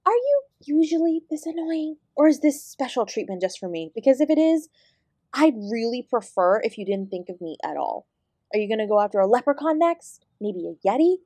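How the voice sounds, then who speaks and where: A woman's voice: on the high side, very animated, normal speed, dark tone, no room sound. A woman playing an ancient celestial dance teacher in an audio roleplay, sarcastic and exasperated, speaking with sardonic, sweary emphasis. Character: ancient female apsara dance guru, sarcastic, exasperated, fiercely independent. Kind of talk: scripted audio roleplay addressed to the listener.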